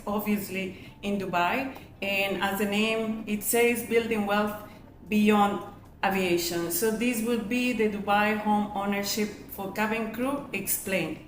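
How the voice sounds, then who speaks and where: A middle-aged woman hosts an instructional webinar in a medium-pitched voice. She explains step by step and often poses questions that she answers herself. The room is echoey, with a faint layer of noise behind her. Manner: asks and answers own rhetorical questions. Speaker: webinar host and real estate agent.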